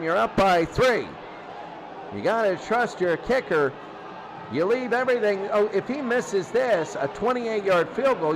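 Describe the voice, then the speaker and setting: Male football commentator, high register, excitable and conversational, noisy recording. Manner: excitable, conversational asides between plays. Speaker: play-by-play commentator of a simulated football tournament. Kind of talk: live American football radio-style commentary.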